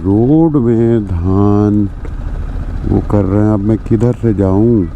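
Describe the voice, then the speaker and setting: A man's voice: on the low side, slow, mellow. An Indian man talking casually and informally to his viewers as a motorcycle travel vlogger, recorded outdoors. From rural India. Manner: informal, addresses viewers as brothers. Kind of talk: casual vlog talk to viewers. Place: outdoors, village roads and roadside eatery. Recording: muffled, dull sound with outdoor noise.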